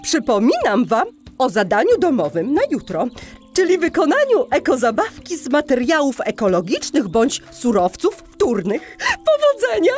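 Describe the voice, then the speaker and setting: A woman's voice: high voice, huge pitch swings, normal speed, darkish tone, soft background music. A woman voicing a cheerful, encouraging animal schoolteacher who talks to small children in a sing-song way. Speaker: teacher. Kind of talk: children's audio play acting.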